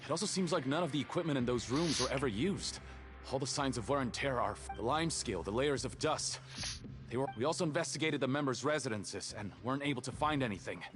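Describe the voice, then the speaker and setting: Man playing a dutiful guard, formal, deferential, quick delivery, game music. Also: medium pitch, animated, fairly bright tone, close to an everyday voice. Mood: urgent. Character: dutiful male guard reporting to his lord. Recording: game audio with music behind.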